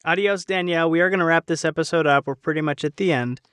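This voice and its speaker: A man's voice: fairly high pitch, fast, fairly bright tone, clean tone, no room sound, clean studio sound. A man hosting a casual discussion in a conversational way. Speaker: discussion host. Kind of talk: casual chat.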